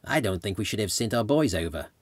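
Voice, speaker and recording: An opinionated Australian bushman, acted by a male narrator, grumbles in a plain-spoken way. The voice is husky and lower in pitch, a bar-room character from an audiobook tale. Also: animated, fast talker, dry recording. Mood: grumbling. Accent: Australian bush.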